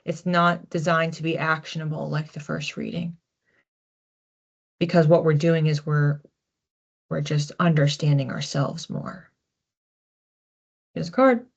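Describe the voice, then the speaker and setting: A woman hosting an informal psychic card reading in a conversational, reflective way, with animated asides. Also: sits low, measured pace, gravelly, pauses often, highs cut off. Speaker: psychic card reader, host.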